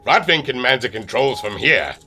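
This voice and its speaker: A German sky captain, clipped formal commands, bright sharp voice, swings wildly in pitch. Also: male voice, big pitch swings, normal speed, slight background noise. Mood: commanding. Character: stern German airship captain, middle-aged man. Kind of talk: audio drama acting.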